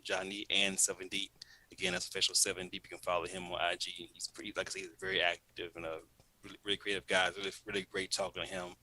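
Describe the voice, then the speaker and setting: Bright-toned male host, chatty and loose. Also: low voice, dry recording, poor audio. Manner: loose and chatty, many fillers. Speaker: show host. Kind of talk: casual interview and show links. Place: in Louisiana.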